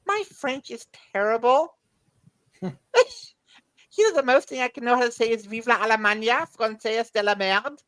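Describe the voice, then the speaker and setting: A woman with a Southern Mississippi accent talks casually as a podcast co-host. Her voice sits a little high, and the sound is close and dry. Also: measured pace, fairly bright tone, dry recording, low-quality recording. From Mississippi, United States. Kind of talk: casual chat.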